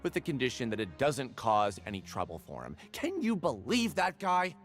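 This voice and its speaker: A man's voice: medium pitch, huge pitch swings, moderate pace, faint music behind. An actor playing an anxious, aggrieved salesman in a video game, flustered, wordy and theatrically indignant. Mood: exasperated, resigned.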